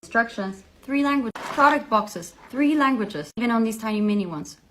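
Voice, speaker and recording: A woman calmly explaining things to camera, with emphatic repetition. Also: a little high, normal speed, a little hiss or hum. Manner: clear, methodical, emphatic. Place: Switzerland.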